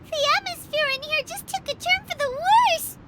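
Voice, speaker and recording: A woman voicing a tiny, excitable female companion creature who speaks of herself in the third person, in game dialogue. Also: very high-pitched, normal speed. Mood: panicky. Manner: talks about herself in third person, fussy and exclaiming. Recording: game dialogue over loud music.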